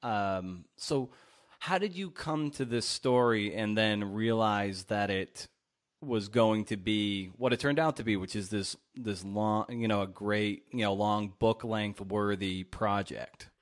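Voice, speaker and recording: A man's voice: fairly low pitch, slow. A man hosting a podcast, introducing and interviewing in a conversational way with playful emphasis.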